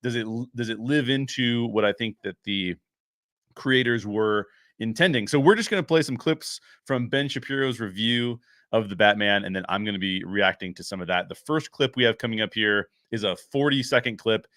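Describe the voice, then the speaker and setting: A man's voice: on the low side, moderate pace, sharp tone, no echo, clean studio sound. A man hosting a show solo, giving casual commentary in an emphatic way, repeating phrases for stress. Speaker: show host and story writer.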